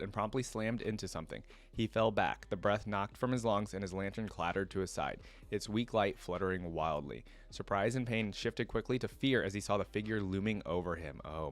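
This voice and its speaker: A man hosting a reaction video, reading lore aloud and adding informal, casual fan commentary. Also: fairly low pitch.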